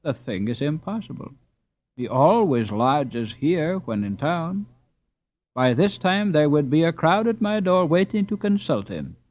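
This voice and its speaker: A man voicing a sharp, resentful landlord in a storybook, scornful and pompous. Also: fairly high pitch, very animated, a little slow, dull audio, pitched above the performer's own voice. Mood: dismissive.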